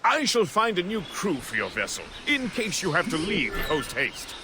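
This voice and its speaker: A man playing a courteous, seasoned sailor in a video game, with a measured, formal way of speaking. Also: high voice, wildly swooping pitch, very noisy. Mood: courteous, assured. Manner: measured, formal, polite phrasing. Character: courteous, seasoned male sailor and ally offering service. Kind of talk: video game dramatic acting. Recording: game music behind the voice.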